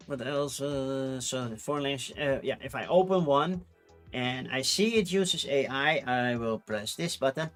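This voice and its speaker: A man's voice: mid-range voice, fairly bright tone. A man with a Dutch accent who speaks English as a second language, chatting casually on a livestream, rambling with fillers and restarts. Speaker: streamer.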